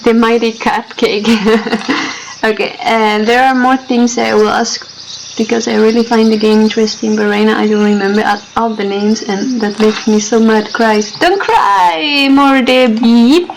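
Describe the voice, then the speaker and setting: A woman's voice: a little high, steady tone, unhurried, vocal fry, slight background noise, poor audio. A young woman from Chile with a Chilean accent, a native Spanish speaker hosting a casual livestream as a game developer and talking hesitantly with many fillers and giggles.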